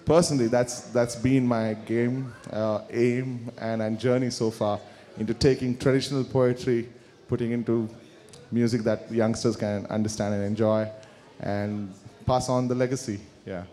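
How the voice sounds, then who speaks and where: A man in his thirties from South India with an Indian English accent, a musician answering interview questions in a halting way with many restarts. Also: mid-range voice, level tone, warm tone. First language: Kannada. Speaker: musician guest. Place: large store event space. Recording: long hall reverb.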